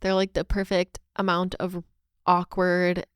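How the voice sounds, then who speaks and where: A woman co-hosting a podcast in a casual, chatty way, with an enthusiastic, expressive delivery. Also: fairly low pitch, a little slow, smooth, no room sound, studio quality. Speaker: podcast co-host.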